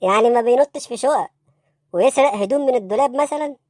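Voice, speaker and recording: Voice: mid-range voice, rapid-fire, darkish tone, no room sound, clean studio sound, lightly acted. A performer voicing a baffled, bossy police interrogator in an Egyptian comedy cartoon, brusque and insistent, with an Egyptian accent. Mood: incredulous.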